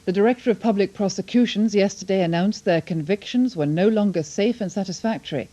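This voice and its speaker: A woman's voice: medium pitch, brisk, mellow, muffled recording. A woman reading scripted television news in a studio. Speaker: news reader.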